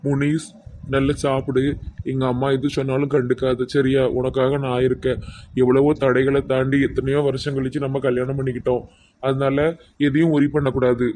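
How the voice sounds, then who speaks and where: An affectionate young husband speaks tenderly to his wife, as a man voices him. The voice sits at a middle pitch and stays flat and even. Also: moderate pace, slight background noise, lightly acted. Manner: tender, plain and flat-toned.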